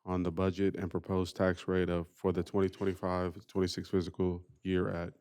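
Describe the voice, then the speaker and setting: A man chairing a school board meeting, running through the agenda with a formal, steady roll-call cadence. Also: sits low, normal speed, smooth.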